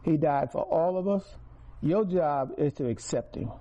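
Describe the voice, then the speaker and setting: A man, testifying before a congregation. His voice sits high but mellow, and he repeats key words and leans hard into stressed phrases. The recording is dull and lo-fi. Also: lively intonation, unhurried. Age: 60s. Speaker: speaker giving testimony to a congregation. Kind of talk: preaching testimony.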